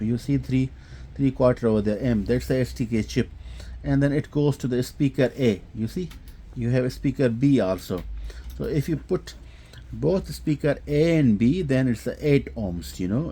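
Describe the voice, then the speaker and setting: A man giving an unscripted technical product demonstration, explaining haltingly with frequent hesitations and repeats. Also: mid-range voice, warm tone. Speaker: host demonstrating audio equipment.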